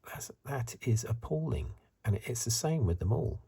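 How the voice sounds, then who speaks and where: A man giving soft-spoken ASMR commentary in a breathy, husky, partly whispered voice, musing haltingly and offering his opinions close to the microphone. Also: medium pitch, animated. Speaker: host of an ASMR review channel.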